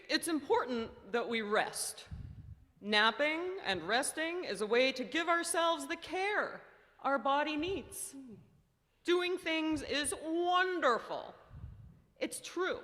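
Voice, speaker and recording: A woman puts on a sleepy monster puppet with a high, gentle voice. It talks patiently in a live skit, very slowly, and the sound rings long in a big hall. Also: exaggerated voice. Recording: echoey hall with long reverb.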